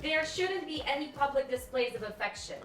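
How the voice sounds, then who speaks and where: A woman reading out statements and counting down, playful and bright. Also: sits high, animated, brisk, echoey room, far from the mic, loud music behind the voice. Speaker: statement reader. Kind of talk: read prompts with countdown.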